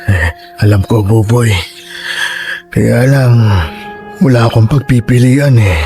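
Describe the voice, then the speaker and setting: Humble, weary old Filipino grandpa. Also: male voice, medium pitch, warm tone. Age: older. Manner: halting, apologetic. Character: humble, weary elderly grandfather street vendor, stubbornly hardworking. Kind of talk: radio drama acting. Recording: music bed under dialogue.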